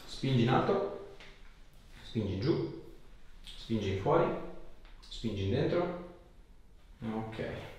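A man's voice: mid-range voice, animated, takes it slowly, long pauses, a little mellow. A man, working as a chiropractor and giving short instructions to a patient during treatment. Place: small hard-walled treatment room indoors. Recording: roomy, distant mic, faint room noise.

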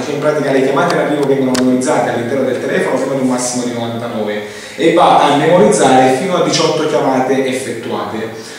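A man's voice: medium pitch, darkish tone. Male shop demonstrator, unscripted and hesitant, recorded away from the mic in a reverberant room. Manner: hesitant, repetitive explaining with frequent verbal tics. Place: indoor room, demonstrating at a tabletop. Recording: echoey room, distant mic, faint noise.

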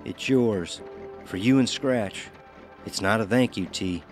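A man's voice: fairly low pitch, takes it slowly, soft background music. An actor playing a haunted, resolute working man in a horror audio drama, terse and folksy in short clipped phrases. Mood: resigned, urgent. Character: Elmer Gage, haunted, resolute working man on a grim errand.

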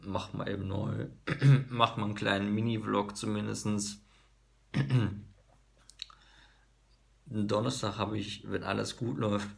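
A man in his thirties, hoarse, vlogging casually to a phone camera in a small room, halting with many fillers. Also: on the low side, steady tone. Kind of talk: casual vlog talk to camera. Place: small bedroom office indoors. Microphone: phone camera at arm's length.

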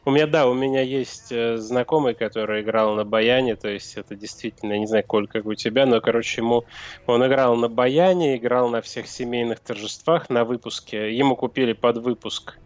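A man's voice: mid-range voice, dry recording. A man co-hosts a casual game chat, telling stories and stumbling into restarts. The recording is dry, with no room sound. It is also a little dull and muffled. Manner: chatty, storytelling, halting restarts. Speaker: co-host of a gaming news show.

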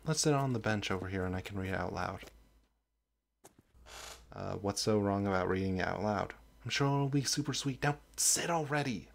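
A young man acting a sweet, oblivious college best friend in a roleplay audio drama, chatty, boyish and expressive. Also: on the low side, creaky. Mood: curious.

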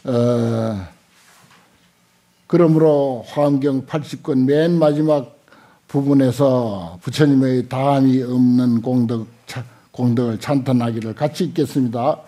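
An older man giving a Buddhist scripture lecture, halting often with small interjections and repeating points for emphasis. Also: medium pitch, warm tone. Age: older, 70s or above. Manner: unhurried, halting with frequent interjections, emphatic repetition. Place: indoor lecture hall with seated audience. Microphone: desk stand microphones.

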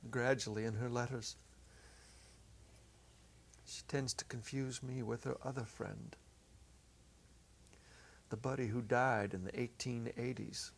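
A middle-aged man giving a measured, expressive dramatic reading of a story as narrator. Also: mid-range voice, gravelly. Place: small indoor set. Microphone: lapel.

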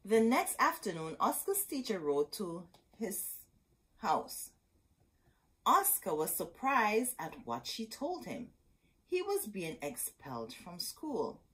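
Very animated, husky woman reading a storybook. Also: medium pitch, takes it slowly, low-quality recording. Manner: dramatic, emphatic storytelling with asides to listeners. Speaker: storyteller reading children's books aloud. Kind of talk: expressive picture-book read-aloud. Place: indoor room with bookshelves.

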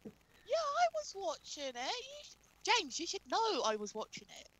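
A woman's voice: very high-pitched, wildly swooping pitch, normal speed. A woman chatting casually and reacting briefly on a group video call. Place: indoors at home, on a video call. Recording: very noisy video call with clicks.

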